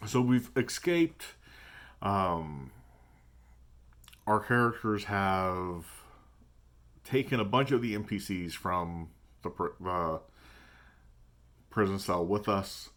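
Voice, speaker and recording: A man with a deep voice talking casually in a vlog about his tabletop gaming, thinking aloud and hesitating as he goes. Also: slow pace, long pauses, a bit bright. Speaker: tabletop game player. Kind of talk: casual reflective vlog talk.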